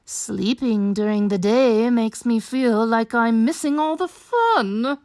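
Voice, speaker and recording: Woman playing a plaintive, high-voiced storybook animal. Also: expressive, takes it slowly, a little mellow, no echo. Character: assorted storybook animals confiding their small troubles.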